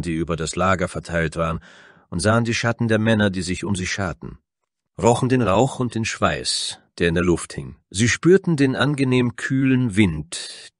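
A man's voice: lowish voice, dry recording, studio quality. A man narrating a scripted fantasy story in a dramatic storytelling style.

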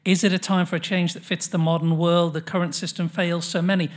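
A middle-aged man chairs a panel Q&A from a lectern in a large conference hall. His voice sits a little high, and he reads questions briskly, hurried by the clock. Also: flat delivery. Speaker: panel moderator. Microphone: lectern microphone.

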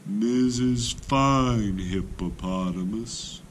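A sly little storybook tortoise, acted out by a man, speaks very slowly and courteously. Each word is stretched out with care, with a faint hiss of noise behind. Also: on the low side. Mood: sly, polite. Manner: drawn-out, deliberate, courteous delivery. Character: slow-talking, clever little tortoise, sly and polite.

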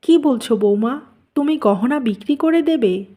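A woman's voice: sits high, lively intonation, moderate pace, dark tone. A woman playing a concerned, emphatic mother-in-law in a melodramatic family cartoon. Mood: worried, pleading.

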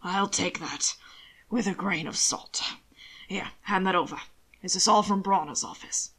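A woman voicing a weary, commanding male lord with gruff fondness in an audiobook story. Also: lowish voice, a little slow, fairly bright tone. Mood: dry, skeptical.

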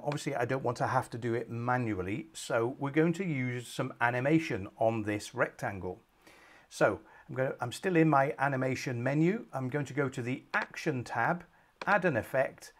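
A middle-aged man presenting a screen-recorded software tutorial from a small home office, calmly walking through each step with frequent ums. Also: medium pitch.

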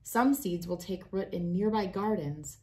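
A woman in her thirties reading a picture book aloud for children, with an expressive, deliberate storytelling delivery. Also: on the low side.

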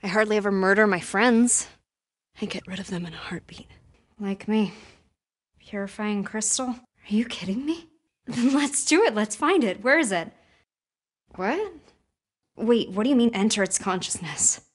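An actress voicing a guarded, sarcastic, distressed young American woman with powers in a video game, speaking in a breathy, emotionally expressive way. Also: medium pitch, normal speed, pauses often, close to an everyday voice. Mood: skeptical, hesitant. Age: young adult.

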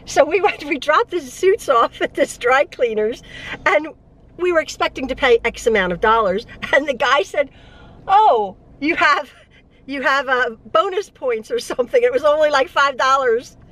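A woman's voice: high-pitched, animated, normal speed, a bit bright. A middle-aged woman chatting casually to a phone camera in a parked car, rambling with frequent restarts and laughs. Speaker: vlogger and mother. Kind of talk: casual chat. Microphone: phone held at arm's length.

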